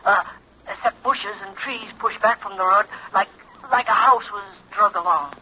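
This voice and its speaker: A man playing a spooked, simple backwoods farmer in a 1940s radio drama, with a rural American accent, halting and folksy, over a telephone. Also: high voice, bright, forceful tone, husky, full character voice. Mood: uneasy, earnest. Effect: telephone filter. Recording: tinny, hissy old radio phone line.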